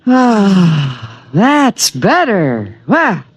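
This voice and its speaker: A man's voice: high-pitched, wildly swooping pitch, very slow, slightly rough, dry recording, exaggerated voice. A man playing an invisible alien protector who is fussy and eager, groping for the right words in a slightly pedantic way, in 1950s radio drama acting.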